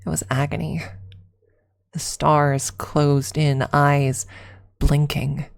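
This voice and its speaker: A woman reading a first-person story aloud as ASMR, softly and deliberately, with dramatic pauses and some near-whispered phrasing. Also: low voice, little pitch movement, creaky.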